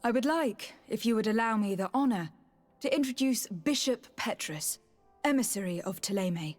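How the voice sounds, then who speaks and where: An actress playing a loyal young noble envoy in a video game, measured, formal and polite. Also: female voice, fairly high pitch, animated, a little mellow, soft background music. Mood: composed, reporting. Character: composed, diplomatic young noblewoman, loyal envoy to her cousin. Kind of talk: video game cutscene acting.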